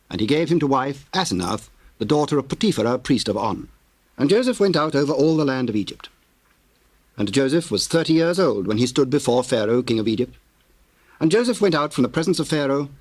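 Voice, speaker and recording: A man narrating scripture in a solemn, measured, formal way with theatrical diction. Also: mid-range voice, darkish tone, dry recording.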